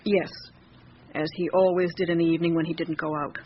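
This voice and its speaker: An actress playing a guarded seventy-year-old housekeeper in a radio drama, giving curt, reticent, matter-of-fact answers. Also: female voice, fairly low pitch, level tone, fairly quick, lightly acted. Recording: dull lo-fi radio recording with clear hiss.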